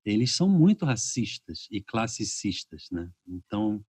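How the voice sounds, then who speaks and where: A man's voice: lowish voice, moderate pace, breathy edge, no room sound. A Brazilian man hosting a podcast over a video call, telling stories casually and acting out reactions. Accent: Brazilian Portuguese. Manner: animated storyteller, acts out reactions. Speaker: podcast host.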